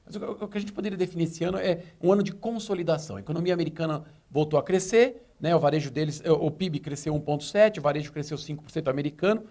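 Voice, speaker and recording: A man's voice: on the high side, fast, few pauses, mellow. A man with a Brazilian Portuguese accent, a retail consultant answering interview questions in a fluent, explanatory way on a business TV show. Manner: fluent, explanatory, tells anecdotes.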